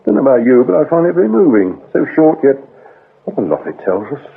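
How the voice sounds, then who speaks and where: An actor playing a shrewd, scornful British police inspector interrogating in a radio drama, sardonic and accusing. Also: male voice, medium pitch, very animated, quick pace, creaky, slightly rough, full character voice. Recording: lo-fi, narrow-band radio drama recording.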